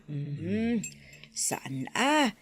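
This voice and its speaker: A woman acting a frugal older village mother in an Ilocano radio drama, unhurried and grumbling. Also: on the low side, very animated, full character voice. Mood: content, reluctant.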